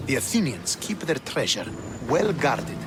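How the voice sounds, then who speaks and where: An actor playing a stern, proud young Spartan military commander in a video game, speaking formally and with earnest authority. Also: male voice, medium pitch, sing-song, unhurried. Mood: commanding, businesslike. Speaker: video game character, army officer. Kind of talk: dramatic video game cutscene acting. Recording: game soundtrack music behind the voice.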